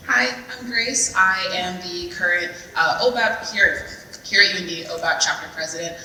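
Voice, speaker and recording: A woman from Florida, a student chapter president, introducing herself in a quick, slightly rushed way. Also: on the low side, sharp tone, slight background noise. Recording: distant mic in echoey hall.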